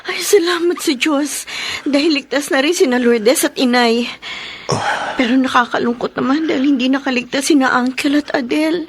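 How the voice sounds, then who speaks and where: A woman's voice: high-pitched, moderate pace. A woman playing a forgiving, devout wife in a Filipino radio drama. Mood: relieved, sorrowful.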